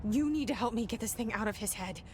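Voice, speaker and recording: A woman's voice: a little high, fast talker, darkish tone, slight background noise, natural voice. An actress playing a tough young woman who talks tersely and bluntly and swears. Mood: tense.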